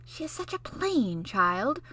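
A genteel lady clucks with pity over a plain child, as a woman reads her lines. The voice is bright and conversational, in a storybook telling. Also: mid-range voice, moderate pace, close to an everyday voice. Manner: pitying. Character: pitying, gossiping grown woman.

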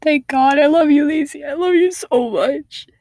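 A woman's voice: sits high, moderate pace, darkish tone, no room sound, studio quality, voice pushed up, lightly acted. A choked, tearful mother, as a woman reads her. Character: tearful mother, whispering, overwhelmed.

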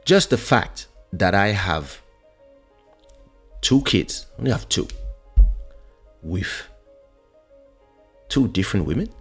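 A man's voice: fairly low pitch, very animated, takes it slowly, pauses often, a bit bright, breathy edge, soft background music. A man who grew up in France, speaking as he hosts a casual, opinionated monologue to viewers, emphatic and repetitive, with rhetorical questions. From born in Africa, grew up in France. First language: French. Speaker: online show host.

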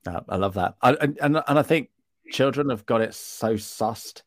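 A man's voice: mid-range voice, expressive, normal speed, no room sound. A man hosts a casual interview, chatty and playful. His voice sits in an ordinary middle range. The sound is dry and close. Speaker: podcast host.

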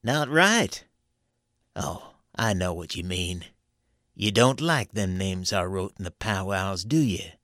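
A man's voice: sits low, lively intonation, slow, a bit bright, no room sound, clean studio sound, heavily acted. A man voicing a scheming backwoods conjurer with a wheedling rustic drawl, read as a story. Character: scheming backwoods male conjurer, sly and coaxing. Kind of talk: audiobook character voice.

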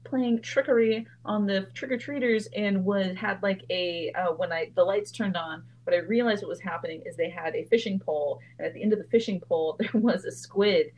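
A woman's voice: mid-range voice, fairly quick, hardly any pauses, a little mellow, a little hiss or hum, low-quality recording. A young woman co-hosting a podcast, telling stories in casual chat, filler-heavy and often laughing. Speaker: podcast co-host.

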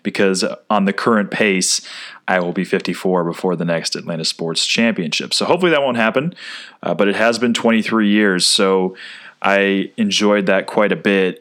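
Low-voiced man in his thirties, easygoing podcast host, rambling and chatty. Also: fairly bright tone. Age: 30s. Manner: chatty and rambling, with many "uh" fillers and restarts. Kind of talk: casual solo podcast monologue.

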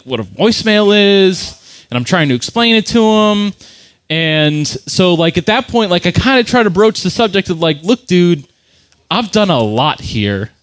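A man's voice: sits high, a bit bright, no echo. A man casually telling a long story, rambling, swearing freely and filling his speech with "like". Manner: rambling, profane, lots of "like" fillers.

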